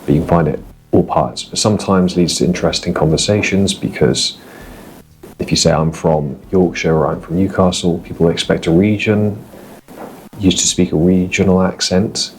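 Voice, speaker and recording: A man with a received pronunciation accent guides viewers through an accent tour. His voice is deep, and he explains things in a measured way. Faint noise runs underneath. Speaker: host.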